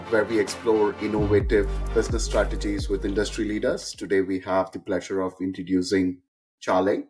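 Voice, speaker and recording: A man hosting a podcast interview, speaking in a measured way with frequent hesitations. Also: on the low side, husky. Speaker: podcast host.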